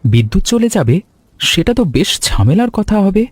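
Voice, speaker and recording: A man voicing a practical young married son in a cartoon folk tale, brisk in manner. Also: high-pitched, very animated, slightly rough, no echo, heavily acted. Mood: brisk, concerned. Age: young adult.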